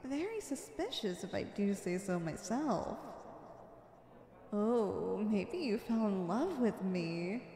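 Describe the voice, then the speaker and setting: A woman's voice: mid-range voice, sing-song, slow, mellow. A woman playing a flirty, confident female supervillain in an ASMR roleplay, coy and teasing as she sweet-talks the listener. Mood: playful, teasing. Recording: echoey with long reverb.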